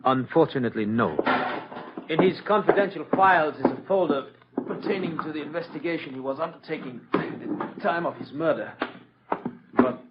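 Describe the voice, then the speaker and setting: An actor playing a formal, careful Turkish intelligence assistant in an old radio spy drama, with stiff, measured wording. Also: male voice, fairly high pitch, animated, lightly acted. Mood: formal, guarded. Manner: formal, measured, avoids contractions. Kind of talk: 1950s radio drama acting. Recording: dull, noisy vintage radio recording.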